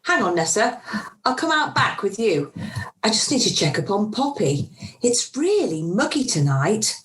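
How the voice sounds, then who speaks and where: Woman acting a kindly, animated, scatty mother. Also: medium pitch, moderate pace, close to an everyday voice. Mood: breezy, scatty. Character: kindly, slightly scatty mother who bakes puddings. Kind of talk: amateur radio play acting. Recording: echoey room, home video call, faint music.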